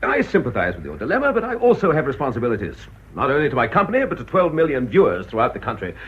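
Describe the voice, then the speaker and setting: A British actor playing a self-important television interviewer in a radio drama, politely insistent and pressing. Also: male voice, mid-range voice, huge pitch swings, very fast, breathy edge. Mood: polite, businesslike. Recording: muffled, dull radio drama recording.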